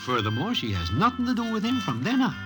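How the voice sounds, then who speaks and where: An actor playing a streetwise Broadway narrator, telling a tale in stilted slang for an old-time radio drama. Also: male voice, high-pitched, huge pitch swings, fast, over music. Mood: wry, confiding. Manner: stilted, present-tense storytelling slang.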